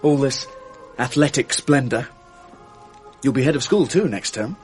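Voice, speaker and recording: A man's voice: medium pitch, lively intonation, unhurried, darkish tone, soft background music, natural voice. An actor playing a wistful, intense English public schoolboy in his late teens, earnest and languid, in a radio drama. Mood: fond, wistful. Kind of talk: 1980s radio drama acting.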